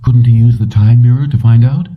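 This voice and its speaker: Man reading as concerned woman accomplice, lifted pitch, muffled. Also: fairly even intonation, fairly quick, lightly acted. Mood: questioning. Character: anxious, questioning woman accomplice in a sci-fi thriller. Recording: muffled, dull, distant-sounding.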